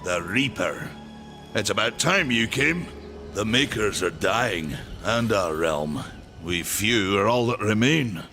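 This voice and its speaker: A man playing a proud, weary old giant warrior in a fantasy game, speaking in a gruff, defiant way. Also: lowish voice, lively intonation, slow pace, music in the background. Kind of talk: dark fantasy game acting.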